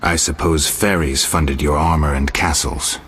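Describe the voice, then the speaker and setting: An actor playing a gruff, laconic monster-hunting mercenary in a video game, speaking tersely and dryly with a gravelly, husky voice. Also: male voice, a bass voice, a little slow, heavily acted. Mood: deadpan. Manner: terse, dry, deadpan. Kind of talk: video game character acting.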